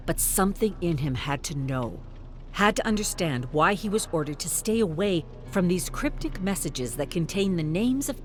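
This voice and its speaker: A narrator reading a dramatic scripted story in a tense, deliberate way. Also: medium pitch. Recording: music behind the voice. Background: background music.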